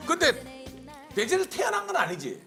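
A male panelist speaks boldly in a very high, lively voice. Loud music plays beneath him. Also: fairly quick, gravelly. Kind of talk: casual chat. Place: TV studio.

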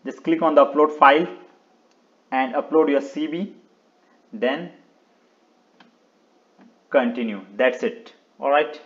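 A man presenting an unscripted step-by-step website walkthrough in short, halting instructional phrases, often repeating words. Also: on the high side, fairly bright tone, highs cut off. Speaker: video tutorial presenter.

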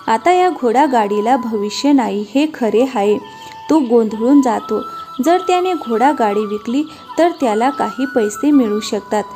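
A woman reading scripted narration for a cartoon moral story. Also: on the high side. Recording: music behind the voice.

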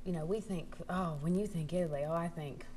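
A woman's voice: fairly low pitch, a little fast, warm tone, a little hiss or hum. A young adult woman co-hosting a TV chat show, asking bubbly, eager questions. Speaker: co-host. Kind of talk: casual studio chat. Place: TV studio set. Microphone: lapel.

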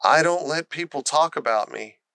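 A man's voice: a little high, normal speed, pushed and bright, no echo, clean studio sound. A man voicing a frail, gloomy, plaintive rich boy in a storybook. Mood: resigned.

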